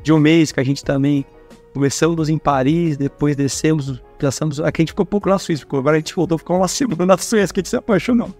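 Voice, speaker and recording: A Brazilian man telling stories as a guest at a career fair, chatty and animated, who talks with his hands and keeps checking in with tag questions. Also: a little high, fast talker, faint music behind. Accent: Brazilian Portuguese. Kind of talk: casual storytelling interview.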